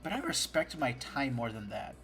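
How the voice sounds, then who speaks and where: A man's voice: medium pitch, very animated, normal speed, creaky, fairly bright tone, soft background music. A man hosting a casual podcast chat, talkative, laughing often and stumbling over restarts. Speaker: podcast host.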